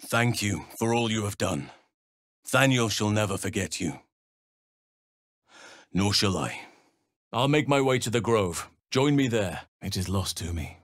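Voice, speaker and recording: An ancient male elf druid from a fantasy video game. His voice sits low and is recorded close and dry. He speaks formally and calmly, with an earnest, solemn love of nature. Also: no room sound. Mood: sincere, gentle. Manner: measured, formal, solemn phrasing. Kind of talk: video game character dialogue.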